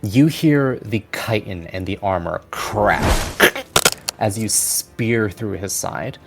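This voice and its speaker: A man's voice: on the low side, slow pace, vocal fry, music in the background. A man narrating combat as the game master of a tabletop game. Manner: descriptive, steady narration of combat.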